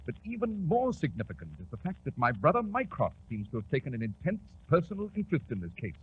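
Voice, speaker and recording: An actor playing an incisive, self-assured British detective in precise, authoritative 1940s radio drama style. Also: male voice, a little high, wildly swooping pitch, fast talker. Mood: grave, precise. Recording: scratchy 1940s radio broadcast, narrow band.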